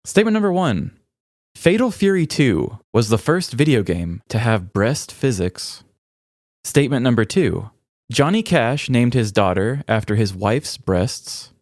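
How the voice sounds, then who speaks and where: Playful Midwestern American man, lively pitch. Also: a little slow, dry recording, studio quality. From rural Midwest United States. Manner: playful, informal. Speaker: podcast co-host. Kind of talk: casual comedic podcast chat.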